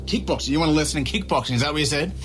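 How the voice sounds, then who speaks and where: A man's voice: a little high, racing, a bit bright, soft background music, lightly acted. A man playing a defensive, hot-headed young Australian son in an audio drama, with a sarcastic, needling manner. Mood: indignant. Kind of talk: naturalistic audio drama acting.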